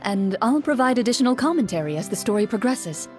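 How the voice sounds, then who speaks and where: An actress playing a composed, serious duelist in a video game, speaking in a measured, polite, matter-of-fact way. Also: female voice, a little high, big pitch swings, darkish tone. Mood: composed, instructive. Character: composed, serious female duelist guiding friends through a game. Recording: music behind the voice.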